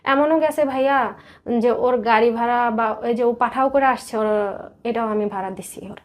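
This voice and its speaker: A Bangladeshi woman in her thirties, speaking as a guest confiding her life story in an interview, in a hesitant, halting way. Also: fairly high pitch. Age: 30s. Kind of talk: personal confession in interview.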